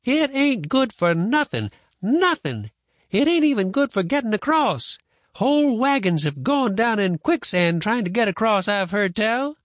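A man's voice: high-pitched, sing-song, a little slow, a bit bright, dry recording, clean studio sound, pitched above the performer's own voice, heavily acted. A man voicing a cranky, stubborn old frontier grandmother who grumbles and scolds in folksy rural speech for a storybook. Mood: disgusted, stubborn. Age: older.

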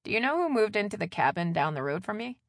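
A woman's voice: mid-range voice, big pitch swings, fast talker, dry recording, studio quality. A woman voicing a tired, no-nonsense mother in an audiobook, firm, wry and matter-of-fact. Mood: wry, curious. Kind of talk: audiobook character dialogue.

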